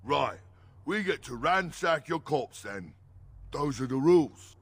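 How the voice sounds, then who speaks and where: Dim bandit henchman, blunt, rustic, husky and creaky, slow, fantasy game. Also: fairly high pitch, animated, no room sound, full character voice. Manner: slow-witted, hesitant, rustic wording.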